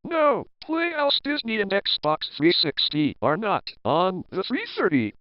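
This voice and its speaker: A man's voice: high voice, very animated, unhurried, no room sound. A man playing an exasperated, scolding TV newsroom boss in a comedy sketch, repeating himself emphatically. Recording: dull lo-fi audio.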